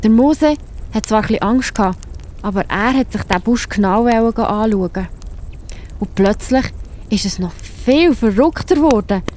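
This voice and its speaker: Swiss lady narrating kids stories, chatty, highish mellow voice. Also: lively intonation, a little slow, a little hiss or hum. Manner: chatty, addresses listeners directly. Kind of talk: children's story narration.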